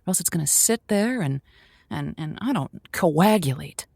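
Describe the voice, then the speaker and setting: A woman playing an effusive, flustered lonely-hearts radio host who talks in halting, repeated starts, in an improvised comedy style. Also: on the low side, animated, gravelly, darkish tone, husky, no room sound, studio quality, exaggerated voice. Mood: earnest, fired up. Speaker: radio call-in advice show host.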